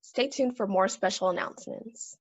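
Student announcer, woman, gravelly and husky, lo-fi sound. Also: medium pitch, moderate pace. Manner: reading a script. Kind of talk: school morning announcements.

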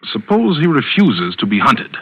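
An actor playing an earnest, appalled hunter, grave and halting yet defiant, in old radio drama style. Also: male voice, medium pitch, wildly swooping pitch, husky, highs cut off. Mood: uneasy, appalled. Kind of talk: 1940s radio acting.

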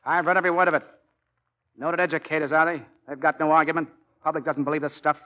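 An actor playing a middle-aged American college football coach in 1940s radio style, speaking plainly and emphatically like an orator. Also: male voice, fairly high pitch, level tone, fast, lightly acted. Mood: blunt. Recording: muffled 1940s radio broadcast recording.

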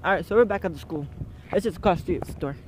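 A man's voice: high voice, rapid-fire. A young man talking casually and loosely to viewers while filming with a handheld camera, indoors and then outside. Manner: slangy, loose, talks to viewers while moving. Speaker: vlogger filming friends. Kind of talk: casual vlog chat. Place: store aisles, then outdoors in parking lot and street. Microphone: handheld or body camera. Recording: noisy handheld camera audio.

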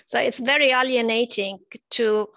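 A middle-aged woman with an Italian accent, a native Italian speaker, speaking on a panel over a video call with a headset, measured and thoughtful with frequent hesitations. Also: a little high, a bit bright, no echo. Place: indoor home office.

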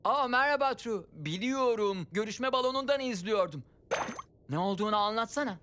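A woman voicing a calm, thoughtful girl helper in a dubbed cartoon. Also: on the high side, normal speed.

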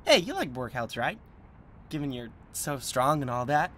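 A man playing an excitable, doting devil in a roleplay audio, stammering and gushing with awkward, playful affection. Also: medium pitch, normal speed, a little hiss or hum. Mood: giddy, playful. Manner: stammering, gushing, swings from gentle to manic.

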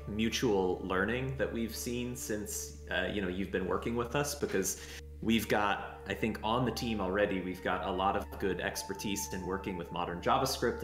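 A man with a low, deep voice speaks casually in an unscripted panel chat. The room adds a clear echo, and music plays in the background. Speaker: software team lead. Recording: roomy echo, music underneath.

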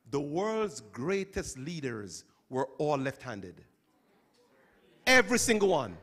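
Animated high-pitched man addressing students. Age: middle-aged. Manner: animated, questioning, calls on listeners. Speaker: teacher speaking at a school chapel service. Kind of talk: interactive talk to students. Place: school chapel hall. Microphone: handheld microphone.

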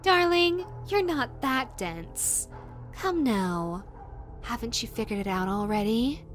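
Girl voice actor doing a creepy lovesick yandere woman for audio roleplay, really high cooing voice, slow, sweet but threatening. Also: lively intonation, soft background music. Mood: coyly menacing. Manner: cooing, drawn-out, possessive, swinging from syrupy to threatening. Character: obsessive, sweetly menacing yandere woman in rabbit costume. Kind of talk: dramatic audio roleplay addressed to the listener.

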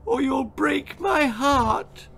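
A man's voice: extremely high pitch, lively intonation, extremely slow, vocal fry, a bit bright, a little hiss or hum, pitched above the performer's own voice, exaggerated voice. An older man voicing a frail, very old grandmother, groaning and gasping, in a children's storybook reading. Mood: distressed.

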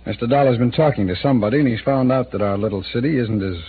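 An actor playing a frank, resigned town official in a 1950s radio drama. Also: male voice, mid-range voice, quick pace, slightly rough. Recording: tinny old radio transcription.

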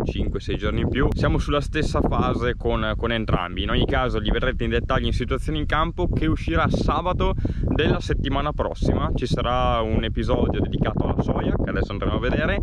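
A young farmer vlogging outdoors, chatting to viewers as he explains his crops, filmed on a handheld action camera. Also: mid-range voice. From Italy. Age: young adult. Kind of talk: casual farm vlog to camera. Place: outdoors in open farm fields, windy. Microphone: action camera held at arm's length. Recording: wind noise on camera mic.

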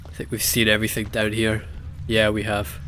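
A man's voice: on the low side, monotone, fairly quick, fairly bright tone, slight background noise. A young Scottish man with a Scottish accent, commenting casually and sarcastically on a video game he is playing. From Scotland. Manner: sarcastic, jokey asides and mock voices. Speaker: let's play host.

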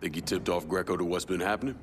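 An actor playing a cool, hard-bitten criminal avenger in a video game, speaking tersely and unimpressed. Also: male voice, low voice, fast, slight background noise, close to an everyday voice.

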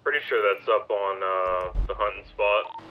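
A man's voice: lowish voice, steady tone, normal speed. A man role-playing a police officer in casual radio chatter. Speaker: police officer player in roleplay game. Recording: dull lo-fi radio, faint noise.

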